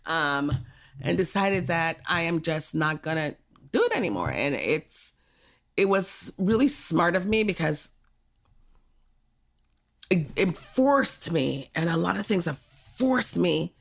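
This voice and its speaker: A woman's voice: fairly low pitch, very animated, takes it slowly, gravelly, long pauses. An older woman from Jamaica talking frankly in a podcast conversation, often laughing as she reflects.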